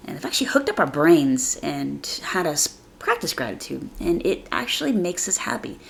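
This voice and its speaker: Female podcast host, deep register with gravelly fry, a bit congested from a chest cold; earnest, informal motivational monologue. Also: big pitch swings, normal speed. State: slightly raspy from a chest cold.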